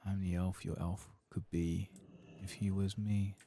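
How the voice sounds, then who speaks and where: A man's voice: sits low, level tone, unhurried, warm tone, a little hiss or hum. A man voicing a vain, pompous elf adventurer in a video game, condescending and florid as he brags to those beneath him. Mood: haughty, demanding.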